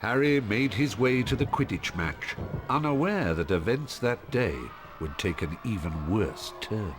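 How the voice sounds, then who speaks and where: An actor giving scripted, dramatic narration as the storyteller of a video game. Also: male voice, fairly low pitch, animated, measured pace, a little hiss or hum. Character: measured, dramatic storyteller man. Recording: music under the voice.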